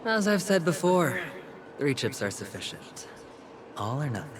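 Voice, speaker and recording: An actor playing a cool, sly young male gambler, calm and wry. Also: on the high side, normal speed, noisy background.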